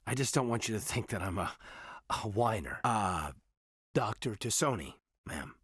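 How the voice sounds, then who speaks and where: Husky, earnest space officer. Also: male voice, fairly low pitch, lively intonation, normal speed, a bit bright, dry recording, studio quality. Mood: earnest, polite. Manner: sincere, thoughtful, dutiful, wry understatement. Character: earnest, reserved human military officer in space. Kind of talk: video game dialogue acting.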